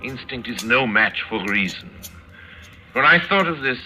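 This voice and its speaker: Suave, sharp-voiced, menacing hunter general. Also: male voice, medium pitch, expressive, unhurried, faint music behind. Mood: coolly intense. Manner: pompous, savoring, theatrical, coolly genteel. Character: suave, boastful, obsessive older aristocratic big-game hunter general. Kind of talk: old-time radio drama acting. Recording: noisy vintage radio drama recording.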